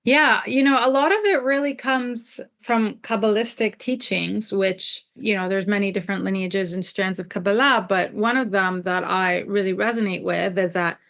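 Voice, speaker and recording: A woman's voice: medium pitch, steady tone, normal speed, vocal fry, hardly any pauses, fairly bright tone. A woman from Jerusalem who moved to the US at ten, a native Hebrew speaker, talking reflectively and explaining her ideas as an interview guest. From born in Jerusalem, moved to the US at ten. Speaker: podcast guest, scholar and artist.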